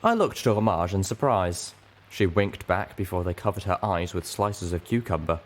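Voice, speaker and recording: A man's voice: low voice, animated, moderate pace, a little hiss or hum. A man reading scripted first-person fiction as an audiobook narrator. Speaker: audiobook reader.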